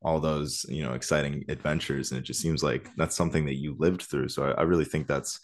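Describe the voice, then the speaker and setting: A male podcast host with an extremely low, deep voice. He asks long, winding questions that stall and restart along the way. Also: fairly even intonation, fairly quick. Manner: rambling, hesitant questions full of fillers and restarts. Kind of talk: podcast interview.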